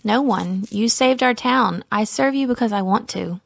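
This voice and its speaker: A woman's voice: fairly high pitch, normal speed, vocal fry. A woman playing a devoted, obedient servant in an audio drama, meek and deferential. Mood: submissive.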